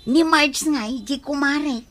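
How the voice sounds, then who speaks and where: A woman's voice: high voice, slow, noisy background. An actress playing a generous, trusting woman in an Ilocano radio comedy drama, speaking with an Ilocano accent. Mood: casual. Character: generous, trusting woman who lends money to friends.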